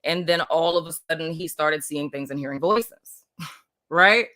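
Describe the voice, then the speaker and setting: A woman's voice: sits low, unhurried, bright, forceful tone. A woman from Puerto Rico hosting a casual spiritual live stream, rambling loosely with lots of "like" and "you know what I mean" and frequent laughter. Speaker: livestream host.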